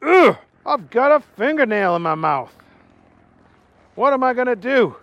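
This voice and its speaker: A man voicing a clumsy, dim-witted young male fry cook who sounds whiny and bewildered. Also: very high-pitched, sing-song, a little slow, no room sound, lo-fi sound, voice pushed up, heavily acted.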